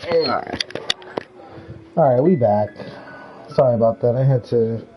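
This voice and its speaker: A man's voice: mid-range voice, unhurried, highs cut off, music in the background. A man streamer chatting casually on a livestream. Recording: faint background noise. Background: faint noise.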